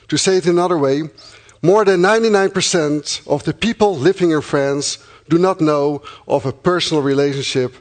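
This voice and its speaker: A middle-aged man from the Netherlands with a Dutch accent, a missionary speaking earnestly and thankfully to supporters, pausing often with fillers. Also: a little high. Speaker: missionary church planter. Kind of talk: address to supporting congregation.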